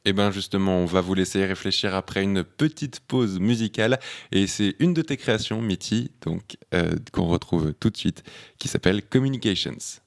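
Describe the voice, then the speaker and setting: Low, creaky-voiced man hosting. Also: moderate pace. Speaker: radio show host. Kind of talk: hosting a live round-table talk.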